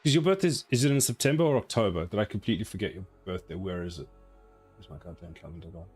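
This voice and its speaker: A man's voice: sits low, very fast, a little mellow, breathy edge, soft background music. A man in his forties from South Africa, with a South African accent, chatting casually with viewers while working on a game during a live stream. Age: 40s. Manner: reads chat aloud and answers, rambling and self-mocking.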